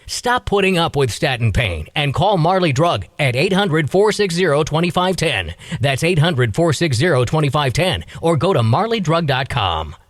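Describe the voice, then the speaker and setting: A man's voice: a little high, no room sound. A man with an American accent reading a scripted commercial as an announcer. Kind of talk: scripted pharmacy advertisement.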